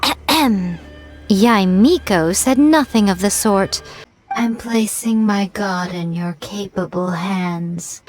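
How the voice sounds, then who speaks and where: A woman's voice: mid-range voice, slow pace, soft background music, natural voice. A woman voicing a sly, teasing and imperious fox shrine maiden in an anime video game, with a haughty, coy, playful manner. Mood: sly, composed.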